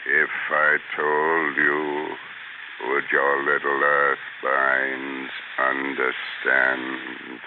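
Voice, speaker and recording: A cold, commanding alien head speaks down to humans,. Its voice is extremely deep and gravelly, dragged out slowly. It comes through a tinny, noisy old radio broadcast. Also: male voice, completely flat delivery, exaggerated voice. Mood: menacing. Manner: slow, contemptuous, commanding. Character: menacing alien head, male, cold and arrogant. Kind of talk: 1970s radio drama.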